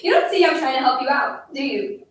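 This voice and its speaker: A woman's voice: fairly high pitch, lively intonation, unhurried, a bit bright. A woman playing a bullying young schoolgirl in a dramatic monologue, scornful, taunting and relentlessly insistent. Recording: echoey room, distant mic, faint noise.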